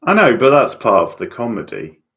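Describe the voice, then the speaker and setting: A man's voice: mid-range voice, very animated, moderate pace, creaky, fairly bright tone. A man giving casual, opinionated commentary on a TV show as host of a live watchalong, chatting back to viewers.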